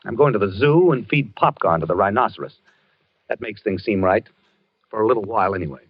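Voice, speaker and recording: An actor in an old-time radio drama playing a tormented, obsessive husband who talks haltingly and repeats himself. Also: male voice, fairly low pitch, animated, slightly rough, no echo. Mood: troubled, brooding. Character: tormented husband haunted by dreams of a duel. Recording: muffled vintage radio recording, dry.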